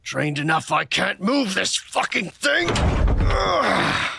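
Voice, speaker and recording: A man playing a haughty, bitter, foul-mouthed male vampire in a fantasy audio drama, with a raspy, gravelly voice. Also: high-pitched, sing-song, slow, noisy background, full character voice. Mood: drained, grudging.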